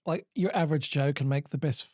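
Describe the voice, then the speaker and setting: A man's voice: a little high, fairly even intonation, fast, a little mellow, dry recording, clean studio sound. A man co-hosting a casual chat podcast, playful and teasing, swearing freely. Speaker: podcast co-host.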